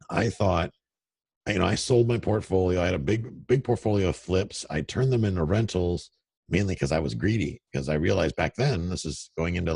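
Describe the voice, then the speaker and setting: A middle-aged man with a deep radio voice, a real estate investor telling candid, self-deprecating stories in a casual interview over a call. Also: vocal fry, a little mellow, husky, no echo. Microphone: remote call audio.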